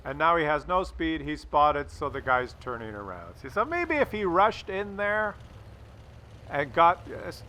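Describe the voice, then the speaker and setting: A man's voice: fairly high pitch, bright, forceful tone, a little hiss or hum. A man giving casual, rambling video game commentary in a conversational, mildly sardonic way. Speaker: gameplay commentator.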